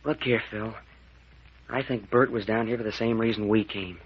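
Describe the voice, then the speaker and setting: An earnest young student, acted by a man in a radio mystery, speaks low with guarded urgency. The vintage recording is muffled, with noticeable hiss. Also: steady tone, normal speed, close to an everyday voice. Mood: urgent, worried. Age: young adult. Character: secretive, worried young male university student. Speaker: actor. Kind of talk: old-time radio mystery acting.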